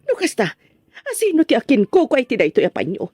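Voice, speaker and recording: A woman's voice: sits high, wildly swooping pitch, fast, a little mellow, husky, dry recording, clean studio sound, exaggerated voice. A woman playing a jealous, accusing wife in a drama serial, with an Ilocano Filipino accent. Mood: angry, accusing. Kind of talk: Ilocano drama series voice acting.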